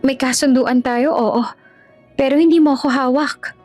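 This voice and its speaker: Woman voicing a timid, earnest young woman, raised pitch. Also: fairly even intonation, normal speed, darkish tone, faint music behind, lightly acted. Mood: firm, hurt. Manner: hesitant, earnest. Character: timid but firm young working woman, confused, pleading.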